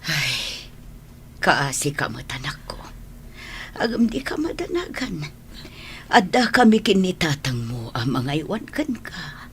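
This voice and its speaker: A woman playing a gentle, worried elderly mother in a radio drama, speaking with a Filipino accent in a tender, consoling way. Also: deep voice, sing-song, a little slow, pauses often, a little hiss or hum, heavily acted. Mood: tender concern.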